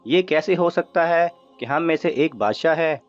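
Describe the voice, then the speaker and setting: A man's voice: fairly high pitch, natural voice. A man voicing eager, admiring thieves who defer to their leader in a children's story. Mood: curious. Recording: muffled audio with music underneath.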